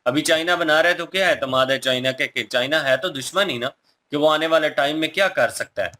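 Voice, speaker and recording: A man's voice: on the high side, brisk, sharp tone. A man giving emphatic, explanatory opinion commentary in a casual style as a reaction video co-host.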